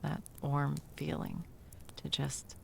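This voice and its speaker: A woman playing a sarcastic, self-doubting, hesitant Christmas elf in an intimate ASMR audio roleplay, with a creaky voice. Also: low voice, fairly even intonation, slow pace, darkish tone, a little hiss or hum, heavily acted. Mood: tender, reflective.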